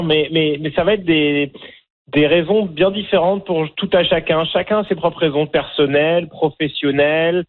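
A middle-aged man from France with a French accent, a documentary filmmaker interviewed on the radio, talking with many fillers and repeated words. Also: on the high side. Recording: narrow phone-line sound.

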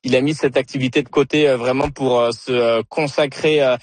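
A man on the radio reporting on a candidate, pausing often with fillers. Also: mid-range voice, fairly even intonation, no room sound. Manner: hesitant, many fillers.

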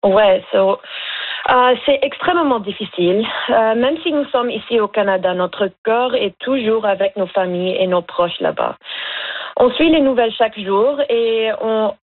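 A woman's voice: a little high, big pitch swings, normal speed. A young woman from Lebanon, a veterinary student and radio guest, speaks earnestly and hesitantly, with many fillers. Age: young adult. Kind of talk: phone-in radio interview. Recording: tinny phone line.

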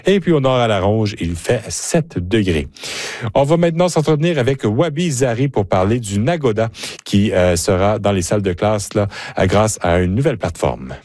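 A man's voice: lowish voice, animated, moderate pace, darkish tone, dry recording. A man hosting a radio program, reading weather and interviewing a guest in a conversational way. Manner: conversational, with small hesitations and repeated words. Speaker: radio host.

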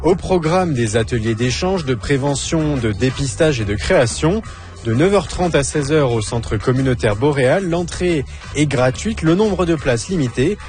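A male radio announcer reading scripted community announcements in a clear, even way. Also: medium pitch, moderate pace, soft background music.

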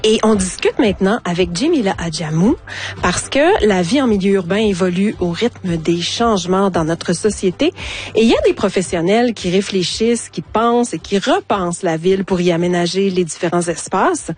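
A woman hosting a radio interview, asking brisk, friendly questions. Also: medium pitch, lively intonation, a little mellow, breathy edge. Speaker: radio host.

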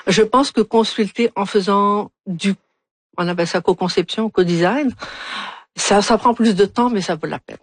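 A woman's voice: medium pitch, normal speed, no echo. A middle-aged woman from Algeria, an urban planner answering interview questions, often restarting and repeating words as she searches for them.

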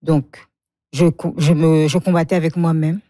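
A woman's voice: deep voice, little pitch movement, moderate pace, mellow, no echo, studio quality. A woman in her forties from Congo, a guest speaking frankly about her life and often laughing. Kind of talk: emotional personal interview.

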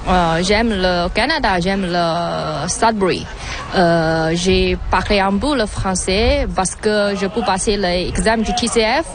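A woman from China, a native Mandarin speaker with a Chinese accent, giving halting, simply phrased interview answers as a French learner. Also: medium pitch. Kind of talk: casual interview.

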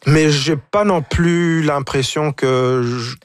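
A man, a guest on a talk show, speaking reflectively and haltingly, often repeating words as he searches for them. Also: on the high side, no room sound, clean studio sound. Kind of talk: reflective conversation.

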